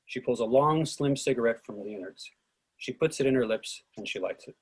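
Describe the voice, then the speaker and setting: Husky man reading stage directions. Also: medium pitch, a little fast. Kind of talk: scripted narration at a readers theatre.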